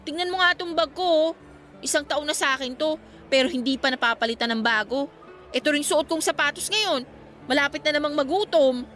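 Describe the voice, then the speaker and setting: A woman's voice: high voice, animated, a little fast, a bit bright, soft background music. A woman playing a sulky, shy eighteen-year-old student girl with a Filipino accent in a radio drama, with a girlish, conversational delivery. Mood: sulky, complaining.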